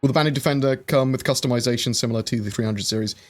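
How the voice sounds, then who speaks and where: A man's voice: medium pitch, racing. Guy rambling thru chat questions on his stream, lots of ums, mid voice, bit of music in the back. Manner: chatty, reads viewer questions aloud and answers with many fillers. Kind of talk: casual livestream Q&A.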